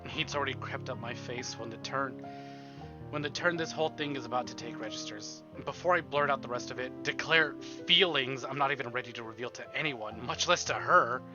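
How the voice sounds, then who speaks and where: A man's voice: mid-range voice, fairly quick, lo-fi sound. A man livestreaming a visual novel, reading the narration expressively and breaking into theatrical, exasperated asides. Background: game music.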